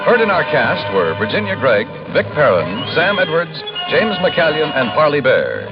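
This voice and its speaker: A man's voice: a little high, huge pitch swings, moderate pace. An American man reading scripted closing credits as a 1950s radio announcer. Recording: dull old radio recording, loud music.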